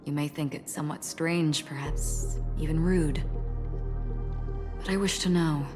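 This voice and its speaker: A low-pitched, enigmatic female wanderer from a video game. She speaks with polite, solemn reserve and measured calm, while music plays clearly behind her. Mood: polite, reserved.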